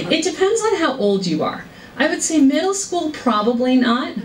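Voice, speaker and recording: A woman giving a career workshop talk and answering questions, in a brisk, chatty way with easy laughter. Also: high-pitched, big pitch swings. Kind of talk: informal talk with Q&A. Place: lecture room. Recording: echoey room, distant mic, faint noise.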